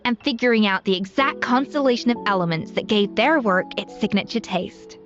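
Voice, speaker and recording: A woman's voice: mid-range voice, a little fast, natural voice. An actress playing a confident, adventurous young woman chef in a video game, speaking in an articulate, explanatory way. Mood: confident, friendly. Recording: slightly muffled game audio with music.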